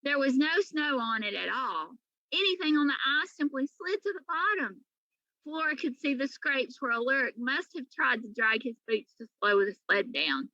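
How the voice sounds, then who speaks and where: A woman reading a children's story aloud in an animated, emphatic way, like a teacher to pupils. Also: high voice, no echo, lo-fi sound.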